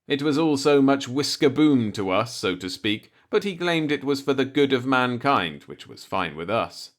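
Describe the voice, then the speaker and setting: A man's voice: medium pitch, sing-song, moderate pace. A man reading a light-hearted short story aloud as an audiobook narrator, in a scripted storytelling style.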